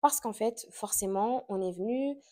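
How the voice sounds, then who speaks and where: A woman's voice: fairly high pitch, a little slow, dark tone. A woman in her late twenties, born and raised in Portugal, hosting a casual podcast and talking chattily and confidingly, with frequent fillers and little laughs. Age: late 20s. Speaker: podcast host. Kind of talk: casual personal chat to camera.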